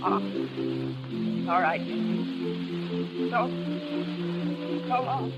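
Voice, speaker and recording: An actress playing a tired, broke carnival dancer in a 1930s American radio drama, speaking hesitant, folksy slang. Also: female voice, on the low side, extremely slow, highs cut off. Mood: halting, wistful. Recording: vintage 1930s radio, faint music behind.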